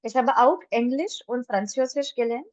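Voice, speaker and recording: A woman takes a small online class through German, switching easily between English and German. Her voice sits a little high and sounds dry and close to the mic. She explains patiently, one step at a time. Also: normal speed, darkish tone, no room sound, lo-fi sound. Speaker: German teacher.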